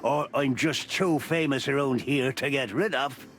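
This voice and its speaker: A man's voice: mid-range voice, measured pace, a bit bright. A man playing a jovial old beaver who sells newspapers in a noir adventure game, folksy and avuncular with a chuckling manner. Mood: defiant, proud.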